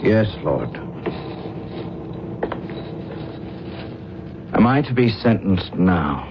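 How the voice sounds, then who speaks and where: A man's voice: deep voice, big pitch swings, unhurried. A man playing a defiant, sardonic, world-weary condemned prisoner with formal, insolent speech in a radio drama. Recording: dull, noisy old radio broadcast.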